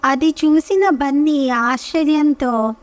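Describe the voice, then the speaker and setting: A woman narrating a scripted children's story as storyteller, with measured, careful phrasing. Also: sits high, dry recording, distant mic.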